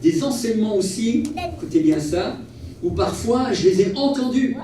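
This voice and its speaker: Echoey preaching man, fairly high voice. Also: moderate pace. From Portuguese family origin. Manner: animated, earnest, repeats phrases for emphasis. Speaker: travelling evangelist. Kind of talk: sermon with personal storytelling. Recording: echoey hall, distant mic, faint noise.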